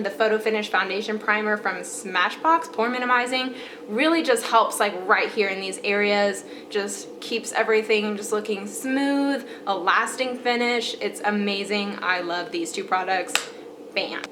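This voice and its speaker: A woman's voice: medium pitch, normal speed, background noise. A young woman with a stuffy, congested voice chatting casually through her favorite makeup products, with lots of fillers and repeated praise. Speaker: beauty vlogger.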